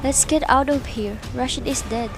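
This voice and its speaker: A woman's voice: high voice, moderate pace, music in the background. A woman voicing a determined young wife and mother in a student radio play, earnest and urgent. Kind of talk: amateur radio play acting.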